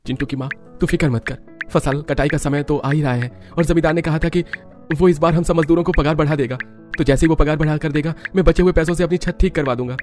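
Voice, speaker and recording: A man's voice: fairly high pitch, fairly even intonation, quick pace, a little mellow, faint music behind, natural voice. A man voicing a poor, hardworking village husband in a cartoon story, earnest and worried. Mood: worried, reassuring. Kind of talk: Hindi cartoon story voice acting.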